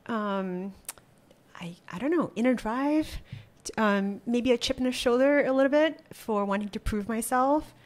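A woman in her thirties from Malaysia, a startup founder answering interview questions reflectively with many pauses and restarts, speaking into a desk podcast microphone in a small studio. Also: on the high side, expressive. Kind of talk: conversational interview. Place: small studio with wooden wall.

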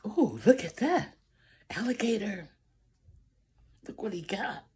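A woman's voice: medium pitch, big pitch swings, slow pace, a little mellow, slight background noise. An older woman reading a picture book aloud to children, theatrically drawing out phrases and adding asides to the listeners. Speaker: storybook reader. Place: small room at home, indoors.

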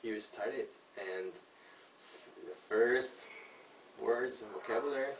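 A young host whose first language is Portuguese asks questions eagerly in simple, halting English. He is recorded from afar by a camera in a living room. The room is echoey, with a faint hiss. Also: fairly low pitch, distant mic. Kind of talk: casual interview chat. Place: living room, indoors, sitting on a sofa. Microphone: far camera.

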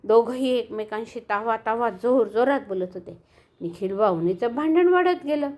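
An older woman narrating a children's bedtime story in an expressive, animated way. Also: a little high, moderate pace.

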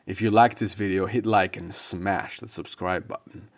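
A man's voice: sits low, fairly even intonation, normal speed. A man hosting a video channel, presenting from a script. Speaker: video channel host and narrator.

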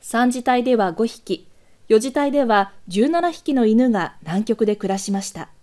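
A woman reading scripted documentary news narration in a measured, formal manner. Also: fairly high pitch, lively intonation, warm tone.

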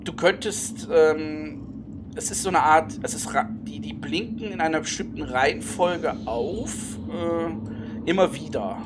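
A game master narrating a tabletop horror role-playing session, haltingly, searching for words. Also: mid-range voice, background noise. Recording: music behind the voice.

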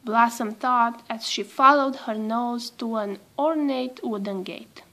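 A woman reading a children's storybook aloud in an expressive, measured storytelling manner. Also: on the high side. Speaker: storybook reader.

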